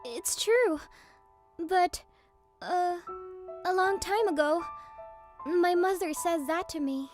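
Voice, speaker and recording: A shy, gentle teenage girl in a manga dub. Her voice sits very high and she speaks slowly and hesitantly. Music plays under the voice. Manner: soft, hesitant. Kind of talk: anime dub voice acting. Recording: music bed behind voice.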